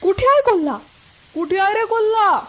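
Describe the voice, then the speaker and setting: A woman's voice: high-pitched, moderate pace, a bit bright, slight background noise. A woman voicing alarmed, indignant villagers with urgent questioning in a children's story.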